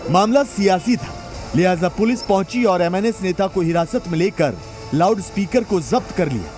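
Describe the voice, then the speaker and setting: A man with a high voice narrates a scripted news report. His delivery is dramatic and emphatic, and faint music plays underneath. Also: expressive, normal speed.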